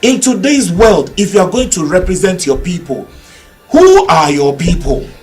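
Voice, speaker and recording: A man's voice: high voice, big pitch swings, a little mellow, faint music behind. A middle-aged man from Ghana speaking thoughtfully as a guest on a televised panel about education and leadership. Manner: measured, reflective, addresses young panelists. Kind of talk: panel discussion.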